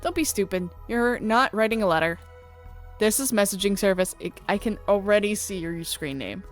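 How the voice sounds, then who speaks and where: A woman's voice: mid-range voice, moderate pace, close to an everyday voice. A prickly young-lady superfan, acted by a woman reading a visual novel, talks bluntly and snippily, full of suspicion. Her voice is mid-range, with music underneath. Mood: grudging, snippy. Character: prickly, sceptical female idol superfan. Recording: music playing behind the voice.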